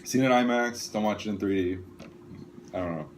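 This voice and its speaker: A man's voice: on the low side, brisk, a little hiss or hum. A man co-hosting a casual film discussion podcast in a slangy, loosely phrased way. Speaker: podcast co-host.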